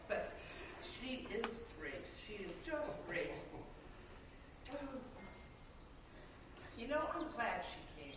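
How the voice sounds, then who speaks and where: A woman acting in a stage play as a fretful, sharp-tongued older mother, sighing and scolding dramatically. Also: a little high, distant mic. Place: theater stage at a college, filmed from the audience. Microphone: far camera. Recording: echoey, noisy stage recorded from afar.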